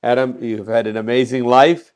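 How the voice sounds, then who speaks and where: A man's voice: medium pitch, fairly even intonation, normal speed, a little mellow. A man speaking as a podcast host, introducing and welcoming in a presenter's style.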